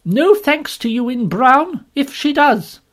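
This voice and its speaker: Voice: sing-song, moderate pace, creaky, slightly rough, heavily acted. A reader voicing a fierce, strong, red-haired woman who bawls and scolds indignantly. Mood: indignant scolding. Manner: shrill indignant bawling. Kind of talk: audiobook character voice.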